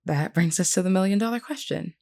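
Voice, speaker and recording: A low-voiced vampire queen played by a woman, composed and solemn, with a gravelly fry in her tone. She speaks formally and earnestly, like a caring leader in an audio drama, reassuring and measured. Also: darkish tone. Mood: formal, welcoming. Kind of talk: scripted character roleplay audio.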